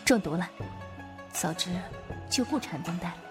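A woman's voice: fairly low pitch, animated, moderate pace, over music, close to an everyday voice. A woman playing an easygoing, bashful young Taoist swordswoman in a Chinese wuxia audio drama. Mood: reassuring, placating.

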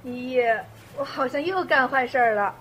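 A woman's voice: sits high, normal speed, fairly bright tone, slight background noise, poor audio. A woman playing a carefree, mischievous teenage schoolgirl in a radio drama. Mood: playful.

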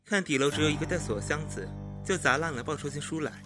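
A man playing a sharp-tongued, articulate confidant of an imperial official in a Chinese costume audio drama. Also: fairly high pitch, animated, fast, music in the background, natural voice. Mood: brisk reporting. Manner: wry, articulate, needling.

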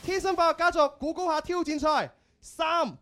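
Excitable Cantonese radio host over music. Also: very high-pitched, a little fast. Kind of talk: lively game show hosting and banter. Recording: music bed behind the voice.

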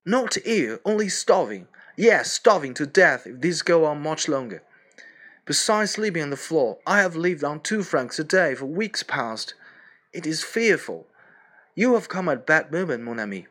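A man voicing a starving, boisterous Russian man lying sick in a Paris attic, exclaiming loudly. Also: a little high, very animated, moderate pace, pitched above the performer's own voice. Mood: plaintive. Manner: groaning, exclamatory, swings to cheerful.

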